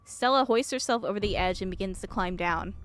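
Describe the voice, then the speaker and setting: A woman's voice: medium pitch, brisk, fairly bright tone. A woman streamer reading a visual novel aloud and reacting expressively as she goes.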